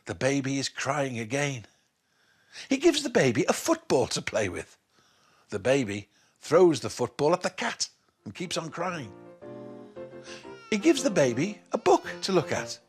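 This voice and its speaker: A man reading a children's bedtime story in an expressive, measured way. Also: on the high side, breathy edge, faint music behind.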